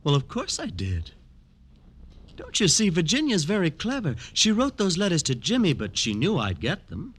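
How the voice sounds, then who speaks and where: An actor playing a delusional, lovesick killer in a 1950s radio crime drama, childlike, insistent and self-justifying. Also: male voice, high-pitched, huge pitch swings, moderate pace, a bit bright, breathy edge, full character voice. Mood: feverish, confiding. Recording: old radio recording with faint noise.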